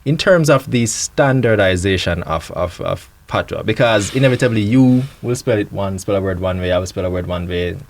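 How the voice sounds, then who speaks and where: A man's voice: low-pitched, brisk, few pauses. A man hosting a studio talk show, asking long, hedged questions and often murmuring agreement. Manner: conversational, frequent back-channel agreement, long hedged questions. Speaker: host. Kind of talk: studio panel discussion.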